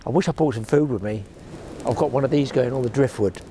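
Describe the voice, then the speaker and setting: A chatty older man in his sixties talks to a close-held camera while fishing alone on a shingle beach. He rambles from tips to grumbles with a dry sense of humour, his voice sitting in a middle register. Clear outdoor noise runs behind him. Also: mid-range voice, fast talker, mellow. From England. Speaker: fishing vlogger. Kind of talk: casual chat to camera. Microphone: action camera held close.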